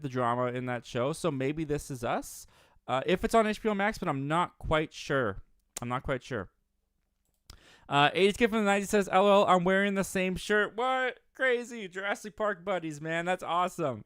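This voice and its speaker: A man's voice: on the high side, a bit bright, clear, resonant tone, no room sound, studio quality. A young man hosting a live movie stream, reading viewer comments aloud in an upbeat, rambling way with many restarts. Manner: upbeat, rambling, frequent restarts and self-corrections.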